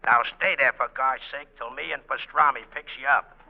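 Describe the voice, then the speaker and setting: A dim, blunt male henchman of 1940s radio comedy, played by an actor, talks in gravelly, creaky gangster slang. His grammar is rough and his manner is plain tough guy. The audio is thin, like a vintage broadcast. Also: mid-range voice, fairly even intonation, fairly quick, close to an everyday voice. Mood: urgent, conspiratorial. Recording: tinny, band-limited 1940s radio recording.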